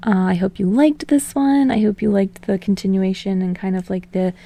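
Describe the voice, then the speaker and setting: A woman host talks informally to her listeners, hesitating and rambling as she goes. Her mid-pitched voice is noticeably creaky, recorded dry with little room sound. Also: fairly even intonation, moderate pace, mellow, no echo. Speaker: podfic host. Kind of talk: casual chat to listeners.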